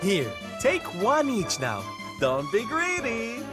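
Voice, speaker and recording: A man's voice: very high voice, huge pitch swings, takes it slowly, loud music behind the voice, heavily acted. An actor playing a flamboyant, kindly male circus entertainer in a video game, camp, dramatic and affectionate. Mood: delighted. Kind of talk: theatrical video game acting.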